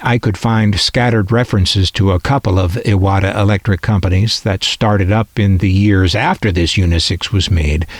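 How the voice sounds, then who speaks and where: A man narrating a scripted piece as a hobbyist collector, with reflective, measured phrasing. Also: on the low side, no echo. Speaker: radio collector presenting their collection.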